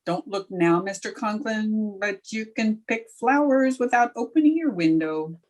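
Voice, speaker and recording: A woman's voice: mid-range voice, measured pace, lightly acted. A woman playing a wisecracking, sardonic schoolteacher in an old-time radio comedy. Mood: deadpan sarcasm. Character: wisecracking, dry-witted female high school teacher.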